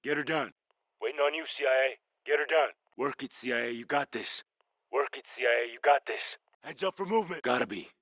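An actor playing a brash, battle-hardened US Marine with an American accent, giving clipped, profane combat lines for a shooter game. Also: male voice, mid-range voice, a little fast, fairly bright tone, no room sound, lightly acted. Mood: low, terse. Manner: barked, profane, clipped military talk.

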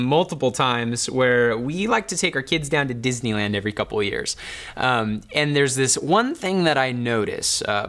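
A man's voice: a little high, fairly bright tone. A man in his thirties, a pastor, preaching a sermon in an earnest, conversational storytelling manner. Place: dark studio, indoors.

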